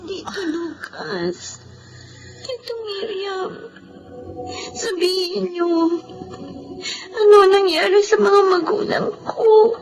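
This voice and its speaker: An actress playing a sorrowful, grieving young woman in a radio drama, speaking haltingly. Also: very high-pitched, vocal fry, exaggerated voice, rough recording. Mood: weak, anxious. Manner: slow, halting, tearful. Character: Trisha, grief-stricken young orphaned woman blaming herself. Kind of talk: Filipino radio drama acting. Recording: voice under dramatic music.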